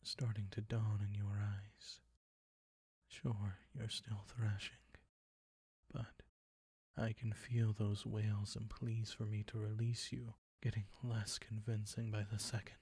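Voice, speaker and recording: Man playing a low, husky, possessive vampire count. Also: little pitch movement, slow pace, dark tone, no room sound, exaggerated voice. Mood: soothing, possessive. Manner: theatrical, formal, condescending endearments, often dropping to whispers. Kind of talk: intimate scripted roleplay audio, ASMR-like.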